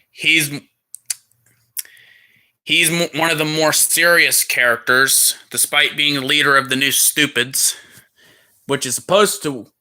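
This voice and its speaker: A man's voice: mid-range voice, pushed and bright. A man speaking as a plush toy character on a livestream, rambling through crude banter with frequent restarts. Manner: rambling, stumbles and restarts mid-sentence.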